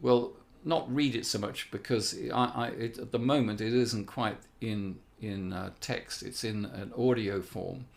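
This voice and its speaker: A man's voice: fairly low pitch, steady tone, a little slow, gravelly, few pauses. A man giving an earnest, explanatory spiritual talk as an author presenting his own book. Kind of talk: spiritual talk with passages read aloud.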